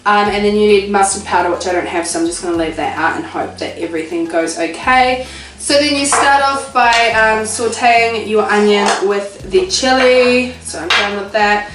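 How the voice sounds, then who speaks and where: A woman's voice: mid-range voice, normal speed, reverberant, far from the mic, noisy background. A woman with a New Zealand accent chatting casually to viewers as she cooks at home, with self-mocking asides. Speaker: vlogger. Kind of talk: casual cooking vlog. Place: home kitchen.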